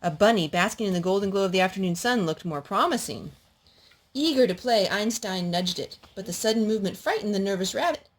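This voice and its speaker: Woman doing expressive children's storytime. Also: medium pitch, a little fast. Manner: expressive, speaking to small children with pet names. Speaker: mother reading to her young children. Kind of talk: sing-song children's storytime with read-aloud and songs.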